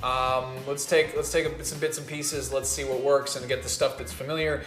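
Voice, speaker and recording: A man talking casually as a panelist in a relaxed back-and-forth discussion. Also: mid-range voice, normal speed. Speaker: panelist on a video game talk show. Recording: roomy sound with music behind.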